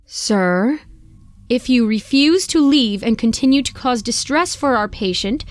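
An actress playing an older nurse, polite but firm, in a horror audio drama. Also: female voice, on the high side, expressive, a little slow. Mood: stern.

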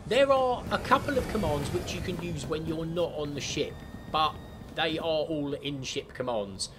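A man chatting casually through a step-by-step software tutorial for gamers. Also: on the high side, lively intonation, normal speed, noisy background. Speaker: gaming tutorial host. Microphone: desktop microphone, voice-over of screen capture.

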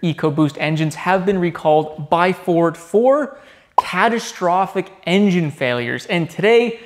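A man's voice: sits high, lively intonation, unhurried. A young man hosting a car channel, giving an upbeat explainer to camera in a large garage workshop and talking with his hands. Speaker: automotive channel host.

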